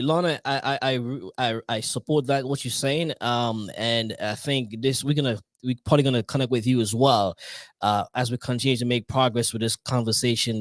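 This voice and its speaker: A man's voice: medium pitch, no echo. A man hosting a live podcast, talking with frequent restarts and stammers. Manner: many restarts and fillers, stammers. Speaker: podcast host.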